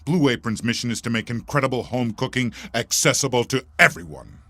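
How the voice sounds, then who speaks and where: A man's voice: mid-range voice, fairly quick, vocal fry, bright, forceful tone, no echo. A man reading a scripted podcast sponsor spot with playful asides.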